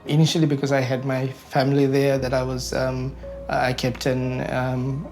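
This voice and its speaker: Young guy from sri lanka, lots of ums, kinda gravelly and monotone, music playing under. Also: medium pitch, moderate pace. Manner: hesitant, many ums and uhs. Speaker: interviewee, community advocate. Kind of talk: recorded interview, reflective.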